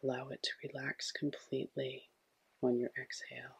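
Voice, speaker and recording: A man with a husky, breathy voice gently guiding a sleep meditation in a soothing, hushed way. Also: mid-range voice, completely flat delivery, moderate pace. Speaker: meditation guide.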